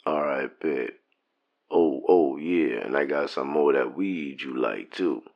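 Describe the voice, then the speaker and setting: Extremely deep, flirtatious ladies' man, acted. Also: unhurried, gravelly, exaggerated voice. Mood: flirty, smooth. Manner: flirty, slangy. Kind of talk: audio drama acting. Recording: dull, muffled lo-fi sound.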